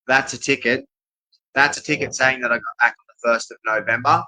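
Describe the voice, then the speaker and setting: A man's voice: mid-range voice, fairly even intonation, brisk, sharp tone, poor audio. A man from Australia giving a rambling, insistent personal monologue to viewers, often repeating his declarations. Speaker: vlogger speaking to camera.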